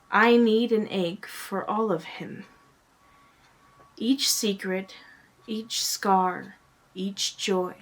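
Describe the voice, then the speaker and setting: A woman giving a dramatic spoken-word reading as a poet, earnest and often hushed, with drawn-out phrasing and pauses. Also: mid-range voice.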